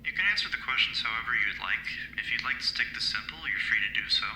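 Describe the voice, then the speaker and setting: A man acts out a formal, unruffled interviewer from an audio drama. His voice is lowish and very crisp-edged, with measured, coolly insistent politeness. The recording is roomy, with faint noise. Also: sharp tone. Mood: cool, polite.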